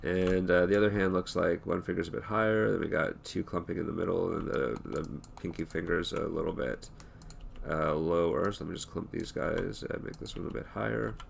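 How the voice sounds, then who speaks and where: A hoarse man talking through an animation tutorial in a relaxed, thinking-aloud way, with many fillers and restarts. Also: low-pitched, fairly quick, a little hiss or hum. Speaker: animation instructor. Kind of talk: screen-recorded tutorial talk.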